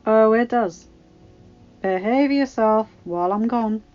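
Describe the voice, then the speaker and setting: A woman's voice: fairly high pitch, slow, a little mellow, faint music behind. An earnest young husband and hero, read by a woman speaks with devotion and protective feeling. The delivery is emotional storybook acting, captured on a slightly dull home recording. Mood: teasing.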